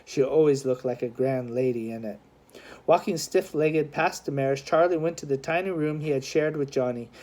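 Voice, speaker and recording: Lower-pitched woman reading a children's novel. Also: warm tone. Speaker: audiobook reader. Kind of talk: expressive scripted narration of a children's historical novel.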